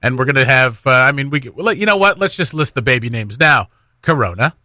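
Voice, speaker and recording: A man's voice: mid-range voice, animated, fairly quick, bright, forceful tone, no room sound, clean studio sound. A man hosting a casual radio show in an upbeat, chatty way. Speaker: radio morning show host. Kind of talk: casual radio chat and interview. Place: radio studio.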